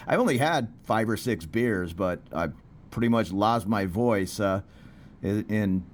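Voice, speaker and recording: A man talking casually as he vlogs, rambling and chatty with plenty of fillers, out on a city street. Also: medium pitch. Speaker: expat vlogger. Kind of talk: casual walking vlog. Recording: street traffic noise behind.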